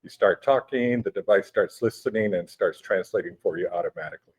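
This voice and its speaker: A man in his forties whose first language is Spanish, explaining things in a relaxed interview with many hesitations and restarts. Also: sits low, brisk, breathy edge. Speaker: guest, education technology company director. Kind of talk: conversational interview. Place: conference venue.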